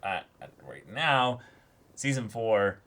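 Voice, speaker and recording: A man co-hosting a reaction show, casually thinking out loud and spinning speculative theories. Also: on the low side, sing-song, takes it slowly. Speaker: co-host of an anime reaction show. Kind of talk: casual discussion.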